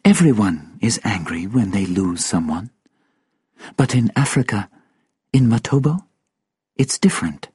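A solemn, guarded woman in an audiobook thriller, acted out by a male narrator. The voice is lifted well above the reader's own and slightly husky. It moves softly and deliberately, with grief and nerves underneath. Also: fairly low pitch, expressive, no echo, clean studio sound, pitched above the performer's own voice, exaggerated voice. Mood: solemn, earnest. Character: grieving, solemn African woman interpreter, nervous and guarded.

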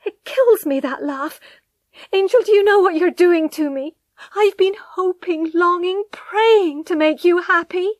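Lady doing a heartbroken young wife in an audiobook, high voice, pleading, very close dry sound. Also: moderate pace, fairly bright tone, no room sound. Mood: anguished desperate. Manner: pleading, breathy whispers rising to anguished cries. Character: distraught young wife, pleading, tearful, devoted.

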